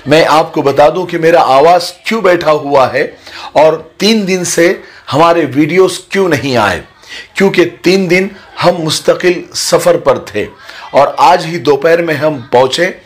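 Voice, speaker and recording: A hoarse-voiced man telling a religious story to his online viewers, greeting them and speaking slowly and deliberately. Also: on the high side. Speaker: Islamic storyteller and preacher.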